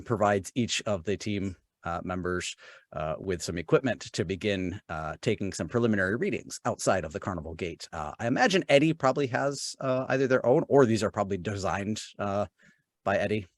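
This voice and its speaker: A man improvising narration as a player in a tabletop game, speaking haltingly. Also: fairly low pitch, no room sound.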